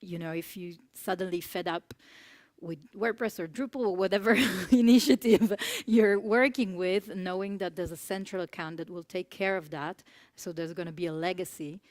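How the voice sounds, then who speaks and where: A woman's voice: on the low side, steady tone, moderate pace, darkish tone, no echo. Chatty Italian woman giving a talk. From Italy. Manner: chatty, laughs often between words; many fillers and restarts. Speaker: conference speaker. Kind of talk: conference talk. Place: conference room.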